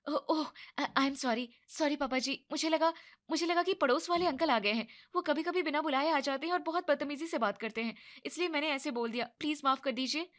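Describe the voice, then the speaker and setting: A woman's voice: on the high side, lively intonation, fairly bright tone, voice pushed up. A woman doing a sassy young woman. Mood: flustered. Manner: cheeky, quick-tongued.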